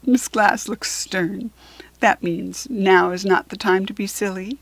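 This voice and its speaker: Slow, deliberate American woman storyteller. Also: mid-range voice, no echo. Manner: deliberate, expressive, clearly phrased for young learners. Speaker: children's book reader. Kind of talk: read-aloud storytelling for children.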